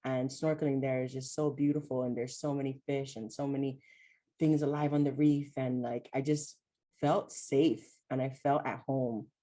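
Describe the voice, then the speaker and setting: A woman's voice: a bass voice, level tone, moderate pace, dark tone. A woman from Hawaii, hosting a casual chat with a friend and talking in a reflective way with many "like" and "you know" fillers. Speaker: host, mental health coach.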